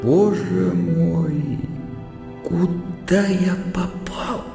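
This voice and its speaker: An actor playing a brooding, uneasy gentleman musing to himself in a radio drama's inner monologue. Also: sits high, steady tone, extremely slow, creaky, heavily acted. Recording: heavy hall reverb. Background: music.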